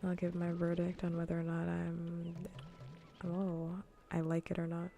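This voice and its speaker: A woman streamer chatting casually in a soft, breathy ASMR voice that often drifts into whispers, relaxed and rambling, close to the mic. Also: lowish voice, a little slow, faint music behind. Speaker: livestreamer hosting a cozy gaming stream. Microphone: close ASMR microphone.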